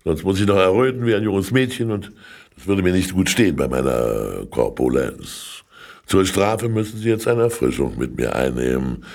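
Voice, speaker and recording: Fat old councillor in a radio drama, really low creaky voice, jovial n sly, pokes fun at himself. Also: male voice, animated, moderate pace, a little mellow. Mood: jovial, self-mocking. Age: older. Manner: theatrical, self-mocking, swooping delivery. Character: very fat older Genevan state councillor, jovial, sly, knowing. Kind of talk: radio play acting.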